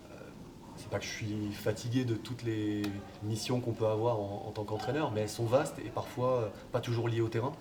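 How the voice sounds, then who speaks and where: A man, a football coach, answering interview questions in a relaxed, hesitant way with many fillers. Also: fairly low pitch, a little fast, a crowd in the background. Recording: distant mic, background noise.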